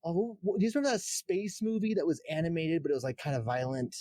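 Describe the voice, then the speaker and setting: Quick, lively man on a podcast. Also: fairly high pitch, no room sound, clean studio sound. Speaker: podcast panelist. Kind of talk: casual chat.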